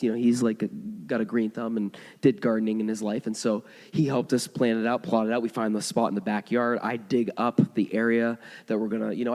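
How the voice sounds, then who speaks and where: A hoarse man preaching a sermon, telling stories in a conversational way with frequent restarts. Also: medium pitch, a little mellow. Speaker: pastor. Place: church sanctuary. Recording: long hall reverb.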